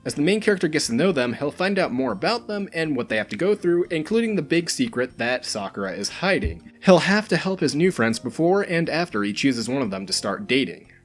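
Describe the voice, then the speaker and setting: A man's voice: on the high side, big pitch swings, a little fast, few pauses. A man reading a scripted top-list countdown as its host, in an informal way with dry, humorous asides. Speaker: host of a video game recommendation countdown.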